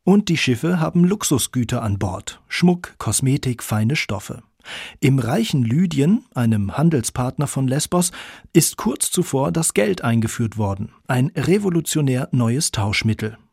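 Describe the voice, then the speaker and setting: A man's voice: mid-range voice, sing-song, measured pace, vocal fry, darkish tone, slightly rough, no room sound, studio quality. A man reading scripted narration as the reporter of a radio feature.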